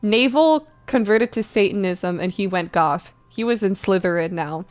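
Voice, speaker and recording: A woman giving an exaggerated, theatrical dramatic reading of badly written fan fiction, misspellings and all. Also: medium pitch. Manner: exaggerated, theatrical delivery that keeps deliberate misspellings. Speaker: streamer doing dramatic readings.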